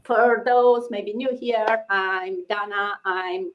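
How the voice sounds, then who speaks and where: A woman's voice: mid-range voice, fairly even intonation, takes it slowly. Woman hosting a video panel, lo-fi sound. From Romania. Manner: friendly, chatty, laughs lightly. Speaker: host. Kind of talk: casual online panel hosting. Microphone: video call. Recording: dull lo-fi sound.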